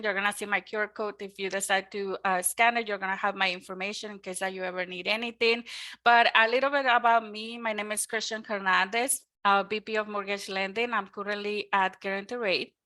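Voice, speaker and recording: A woman's voice: medium pitch, steady tone, brisk, bright, forceful tone. A woman with a Mexican Spanish accent, a native Spanish speaker from Mexico, giving an earnest, upbeat webinar presentation on home loans with frequent ums. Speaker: mortgage lender presenting a webinar.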